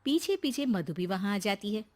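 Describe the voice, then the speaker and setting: A woman narrates a cartoon story in an even, scripted storytelling manner. Her voice sits at an ordinary middle pitch. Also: moderate pace.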